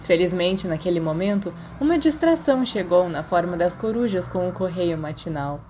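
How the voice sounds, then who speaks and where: A woman's voice: fairly low pitch, expressive, normal speed, dark tone, a little hiss or hum. A woman with a Brazilian accent reading a story aloud as scripted narration. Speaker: audiobook narrator of fan fiction.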